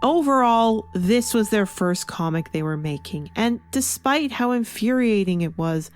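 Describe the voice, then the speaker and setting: A woman hosting an opinionated, scripted review, stressing and drawing out key words for emphasis. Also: medium pitch. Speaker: comic book reviewer and video host.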